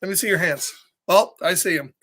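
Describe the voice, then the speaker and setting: A middle-aged man hosts a live story time for children. He reads from a picture book in an animated, playful way. He breaks off often to chat, ask questions and greet listeners by name. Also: high voice, brisk. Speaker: storybook read-aloud host.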